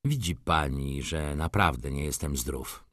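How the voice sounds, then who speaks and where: A man playing a reserved, polite gentleman in a novel, subdued and measured. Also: very deep voice, no echo, studio quality, pitched below the performer's own voice. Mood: subdued, troubled. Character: reserved, shy, ailing gentleman, politely firm.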